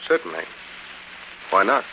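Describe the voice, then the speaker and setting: An actor playing a brisk, businesslike young male secretary in a 1940s radio drama, curt and formal. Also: lightly acted. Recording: muffled, noisy 1940s radio recording.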